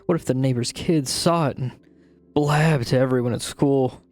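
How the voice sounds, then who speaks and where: A man playing a troubled, guilt-ridden narrator confessing a grim childhood memory in a brooding, dramatic horror-story style, with a gravelly, husky voice. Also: mid-range voice, normal speed, darkish tone, lightly acted. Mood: anxious, guilty. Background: music.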